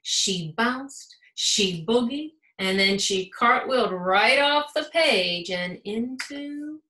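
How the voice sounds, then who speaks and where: A woman with an American accent reads a children's storybook aloud. Her voice is bright and a little high, and she tells the story with animated emphasis. The room adds a clear echo around her. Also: slow pace. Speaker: school principal reading aloud to children. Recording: roomy, echoing sound.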